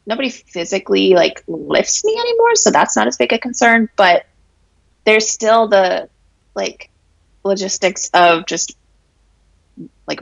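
Raspy, hoarse low-voiced woman. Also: no echo, low-quality recording. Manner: frank, reflective, many fillers and laughs. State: hoarse from allergies. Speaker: interview guest. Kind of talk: candid casual conversation.

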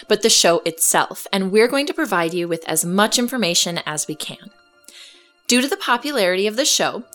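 An actress plays a composed woman journalist hosting an audio fiction podcast. She delivers a scripted announcement in an earnest, measured way at a middling pitch, with soft music behind her. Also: lightly acted. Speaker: podcast host.

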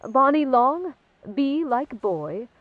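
A woman's voice: sits high, sing-song, takes it slowly, dry recording, heavily acted. A woman playing a nervous, polite, apologetic new employee in an audio comedy drama, in slightly muffled sound. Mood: flustered. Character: flustered, polite, eager female new employee.

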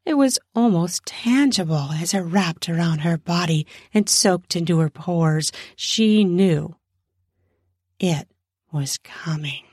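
A woman's voice: on the low side, animated, takes it slowly, darkish tone, husky, no echo, studio quality. A woman narrating an adult story in a lingering, emphatic way. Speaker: narrator of an erotic audio story. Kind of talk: erotic story narration with acted dialogue.

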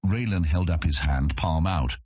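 Very deep, husky male story narrator. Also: moderate pace, a little mellow, no room sound, clean studio sound. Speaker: audiobook narrator. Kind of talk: scripted fantasy story narration.

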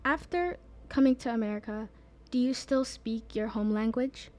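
Mellow-voiced young woman interviewer. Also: a little high, normal speed. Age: young adult. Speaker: youth interviewer. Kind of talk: scripted interview questions and narration.

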